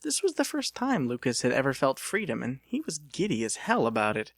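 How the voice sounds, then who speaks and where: A man narrating an audiobook as a dramatic reading, with theatrical, expressive storytelling. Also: mid-range voice, a little fast, gravelly, no echo, studio quality.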